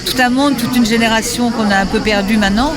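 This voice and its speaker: A woman's voice: on the high side, fast. Enthusiastic woman from Belgium. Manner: enthusiastic, expressive, rambling. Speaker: festival spectator. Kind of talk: street interview. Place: outdoors in a theater queue.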